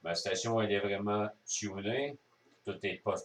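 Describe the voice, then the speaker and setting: Low-voiced Quebec man, roomy and distant. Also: a little slow. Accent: Quebec French. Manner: explanatory, step by step. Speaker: amateur radio operator. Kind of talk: casual hands-on demonstration. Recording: roomy echo, distant microphone.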